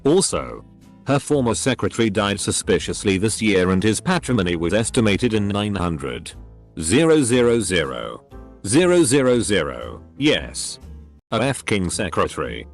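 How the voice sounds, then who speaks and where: A man with an English accent reading online posts aloud one after another in a steady, measured narration. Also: on the low side, faint music behind. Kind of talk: scripted narration.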